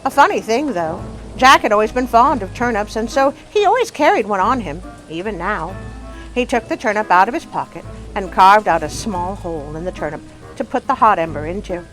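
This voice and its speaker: A woman storyteller and channel host with an expressive, dramatic delivery, reading scripted stories for children. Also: mid-range voice, brisk, soft background music, rough recording.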